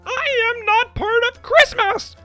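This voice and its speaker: A woman's voice: very high-pitched, slow pace, sharp tone, faint music behind, heavily acted. A woman voicing an indignant, easily exasperated little latke in an emphatic storybook reading, lecturing and prone to shrieking. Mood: indignant, screaming.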